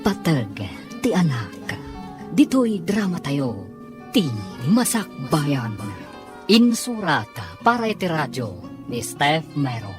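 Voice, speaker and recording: Low, slow Ilocano woman announcer over music. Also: sing-song. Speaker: radio drama announcer. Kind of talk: dramatic scripted announcing.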